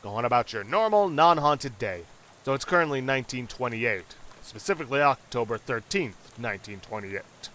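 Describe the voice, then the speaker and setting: Bright-voiced man doing game playthrough commentary. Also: mid-range voice, expressive, normal speed, slight background noise. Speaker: let's play host. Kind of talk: video game playthrough commentary and read-aloud text.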